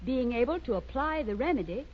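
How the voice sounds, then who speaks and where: An actress playing a gentle, soothing mother of a little girl in a 1930s radio commercial skit. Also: high voice, animated, brisk. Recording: muffled, noisy 1930s radio recording.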